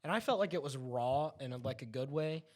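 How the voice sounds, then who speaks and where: A man's voice: mid-range voice, animated, a little fast. A man talking casually among a group, with loose, hesitant phrasing. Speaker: discussion panelist.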